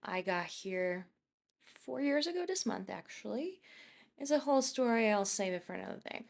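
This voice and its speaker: A woman's voice: medium pitch, animated, moderate pace, vocal fry. A young woman from Poland, chatting casually as a YouTuber while she builds in a game, rambling and thinking aloud.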